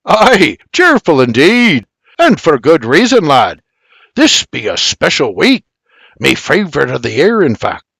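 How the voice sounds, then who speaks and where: A man's voice: a little high, huge pitch swings, measured pace, gravelly, fairly bright tone, slightly rough, no room sound, pitched above the performer's own voice, full character voice. A man voicing a stubborn, cheerful old Scottish man in a Scottish accent who lectures with plenty of Scots dialect. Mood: jolly. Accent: Scottish, as played.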